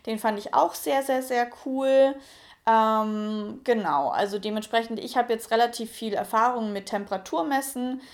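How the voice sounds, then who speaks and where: A woman's voice: a little high, normal speed. A woman in her late twenties talking casually and frankly to her channel viewers, with frequent fillers and restarts. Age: 20s. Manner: chatty, frank, many fillers. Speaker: YouTuber. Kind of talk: casual personal vlog.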